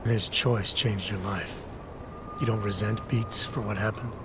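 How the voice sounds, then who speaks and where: An actor playing a terse, determined agent, the probing hero of a video game cutscene. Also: male voice, fairly low pitch, steady tone, a little slow, heavy background noise, lightly acted. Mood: probing, measured.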